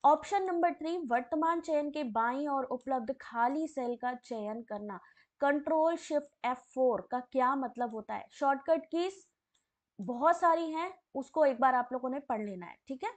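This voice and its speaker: A woman with a high voice, teaching a live online exam class while hoarse from a sore throat. She reads quiz questions and answers, then turns to chat messages and calls viewers by name in a friendly, talkative way. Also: lively intonation, measured pace. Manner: chatty, addresses viewers by name.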